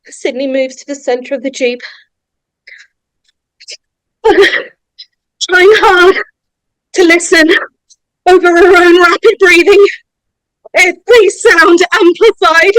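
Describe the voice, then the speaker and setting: A woman narrator reading horror screenplay stage directions in a dramatic, suspenseful way, with deliberate pauses. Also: very high-pitched, a bit bright, dry recording, poor audio. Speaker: narrator of a script table read.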